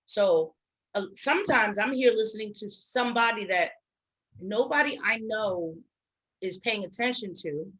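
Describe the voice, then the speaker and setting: A middle-aged woman with a mid-pitched voice. She chats as a co-host in an informal, reflective way, as in an unscripted conversation. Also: unhurried, a bit bright, poor audio. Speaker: co-host of an online talk show. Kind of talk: casual reflective chat.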